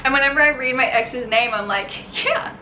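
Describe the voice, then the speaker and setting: A woman's voice: high voice, a little fast, sharp tone, roomy sound, a little hiss or hum. An Australian woman talking casually to camera as a book vlogger, bubbly and gushing.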